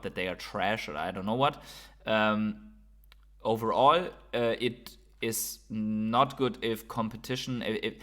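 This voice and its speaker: A man's voice: mid-range voice, fairly bright tone. A man hosting a solo video commentary, talking informally and explaining his opinions, often checking in with short tag questions. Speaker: host of a crypto and digital collectibles video channel. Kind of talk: informal solo commentary, speculating and reading out posts.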